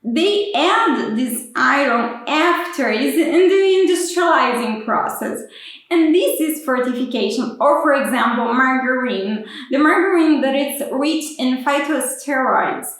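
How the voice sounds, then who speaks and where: High-voiced Brazilian woman in an echoey room. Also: expressive, unhurried, fairly bright tone. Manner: careful non-native phrasing with restarts. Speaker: PhD student. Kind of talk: explanatory vlog. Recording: very echoey room, far from mic.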